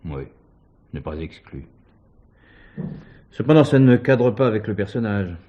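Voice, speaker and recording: An actor playing an authoritative, level-headed police superintendent, measured and deliberate, in a French crime drama. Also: male voice, fairly low pitch, lively intonation, lo-fi sound. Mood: pensive.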